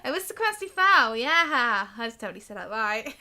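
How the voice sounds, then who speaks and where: High, bright British woman streamer. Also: unhurried. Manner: giggly, animated, exclamatory. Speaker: game live streamer. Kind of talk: casual chatty gameplay commentary.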